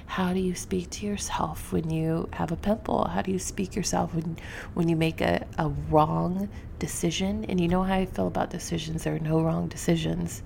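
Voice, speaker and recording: A woman hosts a solo podcast in a low, very gravelly voice that often thins toward a near-whisper. She sounds slightly sniffly and speaks candidly and reflectively, straight to the listener. There is faint rumbling noise under her close-miked voice. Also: steady tone, moderate pace, darkish tone. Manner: confessional, candid, informal with some swearing. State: sniffly from allergies. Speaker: podcast host. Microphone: close podcast microphone.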